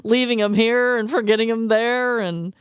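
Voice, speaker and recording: A woman co-hosting a podcast in casual, comedic chat, animated and quick to laugh and swear. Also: on the high side, clean tone, no room sound, clean studio sound. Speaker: podcast co-host.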